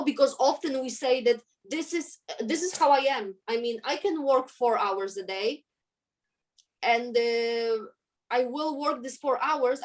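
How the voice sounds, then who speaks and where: A woman with a Polish accent speaks in a slightly high voice, earnest and thoughtful. She often pauses to search for the right word in her second language, restarting phrases as she works out an idea in a relaxed interview. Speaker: guest. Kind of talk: conversational interview.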